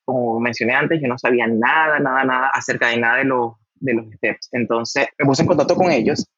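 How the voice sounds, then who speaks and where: Man in his 30s, husky voice, halting recall over a dull dry remote line. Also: mid-range voice, fairly bright tone, no echo. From Venezuela. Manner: hesitant, repeats words while recalling. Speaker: guest, doctor. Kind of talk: casual interview answers.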